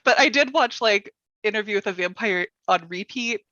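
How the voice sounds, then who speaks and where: A woman's voice: medium pitch, normal speed, bright, forceful tone, no room sound, lo-fi sound. A woman hosting a workshop in casual chat, with an informal, giggly manner and tentative phrasing. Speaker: workshop host.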